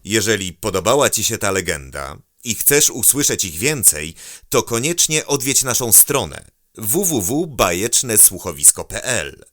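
Bright, forceful, slow male announcer. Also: on the low side, very animated, no room sound, studio quality. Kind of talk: scripted rhyming intro and promotional voice-over.

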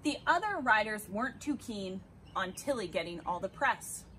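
An American woman reading a children's picture book aloud expressively, with deliberate, dramatic emphasis on key words. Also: medium pitch, lively intonation, a bit bright. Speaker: read-aloud storyteller. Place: outdoors beside a house. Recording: clear background ambient noise outdoors.

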